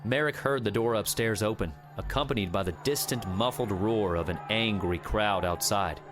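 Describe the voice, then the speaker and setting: A man's voice: fairly low pitch, normal speed, music in the background. A man reading a scripted adventure audiobook as a dramatic narrator.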